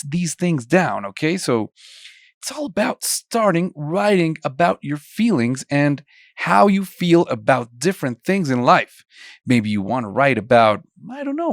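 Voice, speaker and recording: Colombian-born male tutor, young, slightly high voice with a lot of melody, dry close sound, conversational teacher. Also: lively intonation, unhurried, no echo. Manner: conversational, asks students many questions. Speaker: songwriting instructor. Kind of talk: online video lesson.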